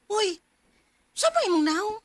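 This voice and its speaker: An actress with a Filipino accent playing an expressive young best friend in a radio drama. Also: female voice, very high-pitched, moderate pace, no echo. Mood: teasing, chatty.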